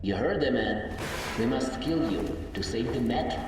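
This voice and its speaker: An actor playing a cold, commanding military villain in a video game, threatening. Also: male voice, medium pitch, animated, normal speed, background noise, lightly acted. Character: cold, menacing male military villain giving orders. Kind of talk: video game cutscene acting. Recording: echoing hall with music.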